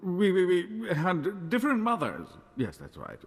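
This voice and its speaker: An actor playing a timid, flustered aristocrat in a video game, speaking unsurely. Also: male voice, sits high, wildly swooping pitch, a little slow, breathy edge, in a large hall, heavily acted. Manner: stammering, unsure. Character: flustered, timid male aristocrat posing as brother.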